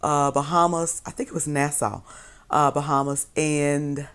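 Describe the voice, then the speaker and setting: Hoarse woman chatting casually. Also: deep voice, little pitch movement, a little slow, a bit bright. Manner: rambling, self-correcting. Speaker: vlogger. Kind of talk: casual chat to camera.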